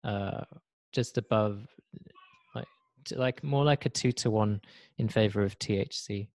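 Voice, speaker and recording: A man in his thirties from Switzerland, hosting a podcast in casual conversation over a video call, halting and repeating words as he searches for them. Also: fairly low pitch, darkish tone, dry recording. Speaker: podcast host. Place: indoor room. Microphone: video call, desk mic.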